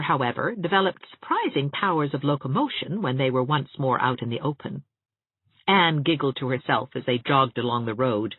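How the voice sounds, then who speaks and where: A woman reading literary fiction aloud as an audiobook narrator, with an expressive storytelling delivery. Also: sits low, slightly rough, dry recording, phone-quality sound.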